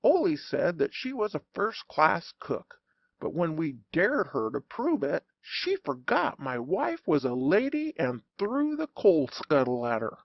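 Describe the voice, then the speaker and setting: A man narrating a humorous audiobook in an animated, wry storytelling manner. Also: fairly high pitch, unhurried, vocal fry, no room sound, muffled recording.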